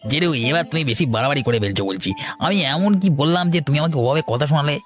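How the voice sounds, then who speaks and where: A man's voice: a little high, lively intonation, rapid-fire, soft background music, close to an everyday voice. A man voicing a chatty, teasing villager in a cartoon story, wheedling and eager to please. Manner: wheedling, talkative, placating. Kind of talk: dramatized cartoon story dialogue.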